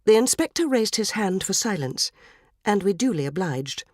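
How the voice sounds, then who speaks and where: A woman's voice: mid-range voice, sing-song, normal speed, no echo, clean studio sound. A woman reading a short story aloud as dramatised literary narration.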